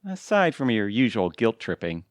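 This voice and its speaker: A man's voice: fairly low pitch, big pitch swings, normal speed, no echo, clean studio sound. A man acting in an audio drama as a wounded, sarcastic middle-aged husband who feels pushed around. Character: aggrieved, sarcastic middle-aged husband, former Vegas performer.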